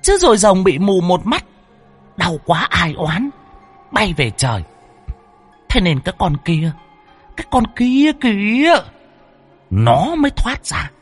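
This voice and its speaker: A man voicing a spirit medium possessed by a fierce aunt spirit, berating the family in a shrill, scolding way. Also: sing-song, slow, long pauses, slightly rough, soft background music, exaggerated voice. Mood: accusing.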